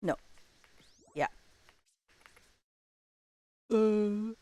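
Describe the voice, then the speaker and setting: A woman livestreamer chatting casually with her viewers in a playful, expressive way. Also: mid-range voice, drawn-out words, no echo, highs cut off.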